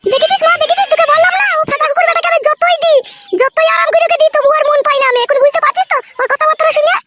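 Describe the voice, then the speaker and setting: Shrill cartoon wife, extremely high, rapid, scolding, accusatory, noisy sound. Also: female voice, steady tone. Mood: complaining. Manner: scolding, name-calling, accusatory. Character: shrill, furious, cursing young village wife. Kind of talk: comic cartoon dialogue in village family quarrel.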